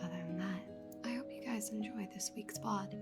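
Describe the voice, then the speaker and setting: A woman ASMR creator giving a very quiet, whispered announcement to her viewers. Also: medium pitch, moderate pace, over loud music. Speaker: ASMR creator introducing video.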